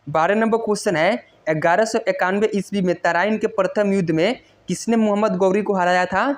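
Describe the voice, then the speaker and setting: An exam-prep teacher reading quiz questions and giving answers in a repetitive, instructional way. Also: mid-range voice.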